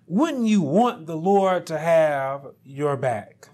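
A middle-aged man delivering a sermon in a small studio, his voice pitched noticeably high. He takes his time, drawing out phrases unhurriedly. His voice swells into loud, high emphasis at the key points. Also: lively intonation. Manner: slow, deliberate preaching that climbs into loud, high emphasis. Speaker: pastor.

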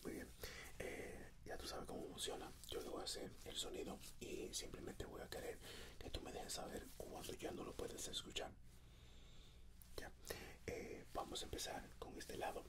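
A man in his thirties whispers softly and breathily in an ASMR medical exam roleplay, gently giving reassuring, step-by-step instructions as a doctor. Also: normal speed. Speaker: ASMR performer playing a doctor. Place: indoors, dark backdrop. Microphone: close microphone.

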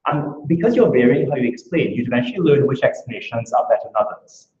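Fast-talking Trinidadian man giving a talk. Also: mid-range voice, expressive, a little mellow. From Trinidad and Tobago. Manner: brisk, earnest, explaining with rhetorical questions. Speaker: academic speaker. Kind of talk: conference talk. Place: lecture room. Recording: echoey, dull room recording.

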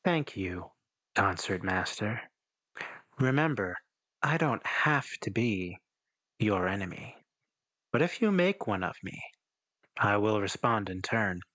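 Man playing a slow, menacing, enigmatic stranger. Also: lowish voice, sing-song, pushed and bright, full character voice, low-quality recording. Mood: veiled threat. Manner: slow, measured, cryptic. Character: enigmatic, menacing man cloaked in smoke, calmly goading. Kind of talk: in-character tabletop roleplay.

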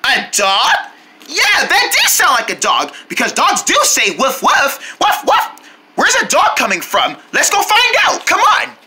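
A woman voicing a sweet, excitable young kindergartner in a children's cartoon, exclaiming in a sing-song way to young viewers. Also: sits high, measured pace, heavily acted. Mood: excited curiosity. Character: excitable, sweet young kindergartner, friendly kids' show character.

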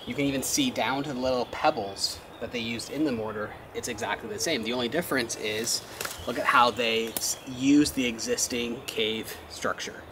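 A man's voice: medium pitch, normal speed, noisy background. Man exploring a cave, explaining as he walks. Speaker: vlogger and father. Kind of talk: casual explaining to camera. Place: stone cave house in a wooded hillside, partly outdoors. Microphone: handheld camera, sometimes at arm's length.

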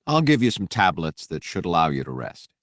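A military doctor, as a male audiobook narrator plays him, talks briskly and plainly. He is clinical and reassuring, in a low male voice with a dry, close sound. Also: big pitch swings, a bit bright, dry recording, exaggerated voice.

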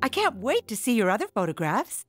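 A woman voicing a kindly, encouraging kindergarten teacher in a children's cartoon, with a gentle teacherly manner. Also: a little high, very animated, brisk, faint music behind. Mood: cheerful, encouraging. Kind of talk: children's animated cartoon acting.

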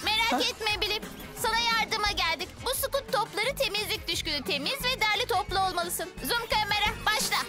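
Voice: very high-pitched, expressive, normal speed, few pauses. An actor voicing a small, keen and supportive childlike motorbike in a children's cartoon, with music behind. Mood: helpful. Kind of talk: dubbed children's cartoon acting.

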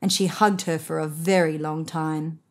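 A woman in her thirties reading a picture book aloud to children in an expressive, measured way. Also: deep voice. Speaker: storybook reader. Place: indoors, seated on a sofa.

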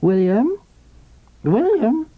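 A male reader puts on a frail, peevish invalid wife for an audiobook. The voice is high and querulous, urgent and demanding, with big swoops and a husky edge. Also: sing-song, drawn-out words, phone-quality sound, full character voice. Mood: peevish, despairing. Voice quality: weak, querulous. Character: sickly peevish older wife, weak yet urgent.

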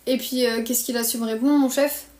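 A woman's voice: a little high, fairly even intonation, brisk, a little mellow, a little hiss or hum. A woman in her early twenties from France with a French accent, chatting casually on a livestream in a blunt, slangy, griping way. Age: early 20s. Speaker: live streamer. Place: small bedroom, indoors. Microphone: phone at arm's length. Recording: roomy echo on phone microphone.

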